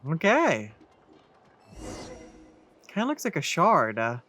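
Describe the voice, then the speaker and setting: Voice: mid-range voice, wildly swooping pitch, slow, a bit bright, slight background noise. A streamer giving casual, conversational gameplay commentary and reacting aloud. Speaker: let's play streamer. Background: game music.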